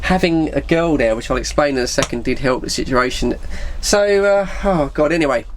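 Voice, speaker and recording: A man's voice: fairly high pitch, moderate pace, slight background noise. A middle-aged man, telling an anecdote casually and animatedly, acting out the dialogue. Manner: animated, acts out dialogue with put-on voices. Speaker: video storyteller.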